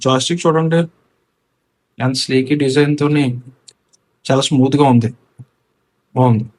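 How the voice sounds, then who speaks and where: A man's voice: medium pitch, steady tone, moderate pace, darkish tone. A man with a Telugu accent giving a casual hands-on product walkthrough as a gear reviewer, mixing in English technical words. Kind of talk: casual unboxing and installation walkthrough.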